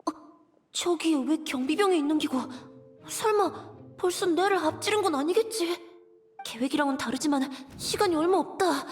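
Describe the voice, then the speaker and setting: A woman's voice: high voice, normal speed, natural voice. A woman voicing a nervous but determined young boy in a video game, with a childlike, earnest voice. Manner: earnest, polite, occasional regional dialect.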